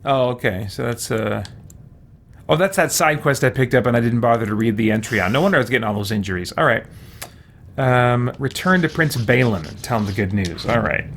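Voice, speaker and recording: A man's voice: medium pitch, animated, a little fast, a bit bright. A man streaming a video game, giving casual let's-play commentary and thinking aloud in rambling, chatty asides. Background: video game music.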